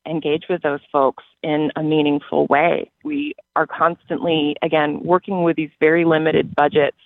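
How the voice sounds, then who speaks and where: An American man with a creaky, fairly high voice talks conversationally in an interview about his work. The audio is thin and band-limited, like a phone line. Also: moderate pace, no room sound. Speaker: library system staffer being interviewed.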